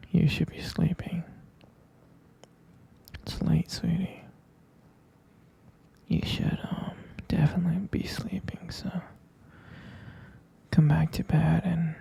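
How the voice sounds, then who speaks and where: A man playing a sleepy, affectionate half-vampire boyfriend in an intimate ASMR roleplay, murmuring softly and haltingly in a breathy, whispery voice. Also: on the high side, full character voice. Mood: sleepy, coaxing. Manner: drowsy, halting, murmured endearments.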